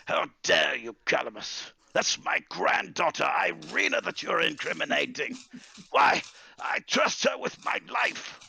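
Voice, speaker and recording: A man voicing an old wizard, brooding and theatrical, with drawn-out lines in a tabletop fantasy game. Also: medium pitch, big pitch swings, vocal fry, pushed and bright, husky, dry recording, pitched above the performer's own voice, heavily acted. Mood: indignant. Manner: theatrical, drawn-out, swinging between cries and hisses. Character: old bearded wizard, grieving, brooding, quietly menacing.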